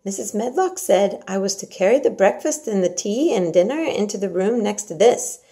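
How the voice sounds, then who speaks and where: A woman's voice: mid-range voice, expressive, moderate pace, warm tone, natural voice. A woman voicing a good-natured young Yorkshire housemaid in a storybook, homely and talkative, with a Yorkshire accent. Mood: matter-of-fact. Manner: rattling on, homely and familiar.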